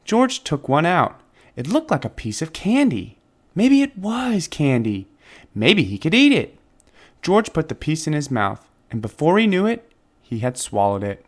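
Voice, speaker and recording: A man reading a children's story aloud as narrator, phrasing it deliberately and expressively for young listeners. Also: a little high, wildly swooping pitch. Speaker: storybook reader.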